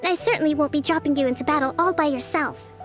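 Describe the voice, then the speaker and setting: A young female commander, played by a woman, with a high, gentle voice. She is composed and confident, articulate and measured, formal but friendly, like a video game strategist. Music plays behind her. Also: close to an everyday voice. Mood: confident, encouraging. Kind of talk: video game character dialogue.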